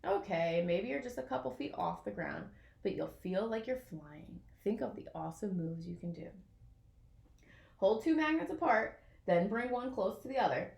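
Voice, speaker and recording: A woman reading a children's picture book aloud in an expressive way, as if to young listeners. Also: medium pitch, moderate pace, vocal fry, echoey room. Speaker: storybook reader.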